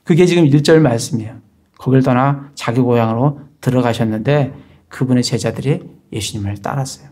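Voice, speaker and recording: A man preaches in a mellow, warm tone at an ordinary pitch for a man. He talks to the congregation like a conversation and keeps asking rhetorical questions. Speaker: pastor. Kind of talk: sermon.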